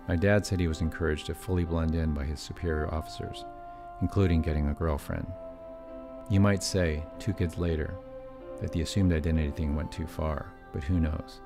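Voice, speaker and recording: A middle-aged man from California narrating his own family story in scripted documentary style, with wry, self-deprecating asides. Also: extremely low pitch.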